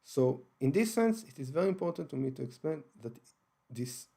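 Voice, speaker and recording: A man's voice: on the high side, expressive, moderate pace, creaky, mellow. A man with an Israeli accent, hosting his channel and explaining his views on religion carefully, with frequent hesitations and restarts. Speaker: channel host. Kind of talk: unscripted explanatory talk.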